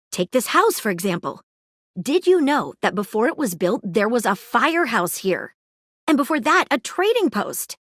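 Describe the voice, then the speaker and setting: An eager, nosy young detective. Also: female voice, on the high side, no room sound, clean studio sound. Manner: earnest, inquisitive, fact-loving. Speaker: voice actor. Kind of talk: video game voice lines. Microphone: studio mic, dry booth.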